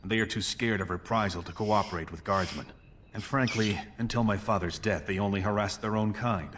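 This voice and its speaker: An actor playing an ambitious dwarf prince in a video game, speaking formally and with resolute authority. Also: male voice, lowish voice, a little fast, fairly bright tone, slight background noise, lightly acted. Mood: measured, grave. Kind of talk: video game character dialogue.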